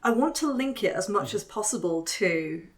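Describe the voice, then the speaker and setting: A woman's voice: medium pitch, expressive, moderate pace. A woman playing a tabletop game, casually thinking aloud as she talks through her choices.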